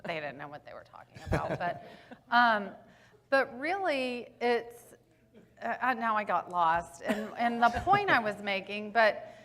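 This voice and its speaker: A woman's voice: medium pitch, sing-song, unhurried, a bit bright. A woman school board member on a panel, speaking candidly and telling stories, sometimes losing her thread. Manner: candid and anecdotal, laughing at herself, loses her thread. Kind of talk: panel discussion.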